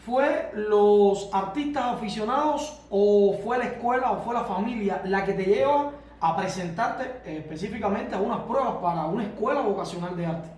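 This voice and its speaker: A Cuban radio host with a high-pitched voice for a man asks long, winding questions. He is recorded from a distance in a very echoey room. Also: moderate pace, hardly any pauses, a little mellow. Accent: Cuban Spanish. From Cuba.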